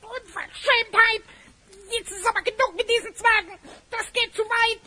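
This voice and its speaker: Squeaky, indignant little elf kobold. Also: female voice, steady tone, moderate pace. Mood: outraged. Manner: indignant, stilted formal speech. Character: touchy little elf kobold, easily offended, boastful. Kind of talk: German fantasy audio play acting. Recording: noisy, clicking old cassette transfer.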